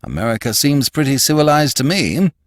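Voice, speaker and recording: A hearty older magazine boss from a storybook, acted out by a man, pitched well up and swooping widely. He is genial and fussing, leaning on his words as he cajoles and persuades. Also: very animated, brisk, dry recording, studio quality, natural voice. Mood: puzzled.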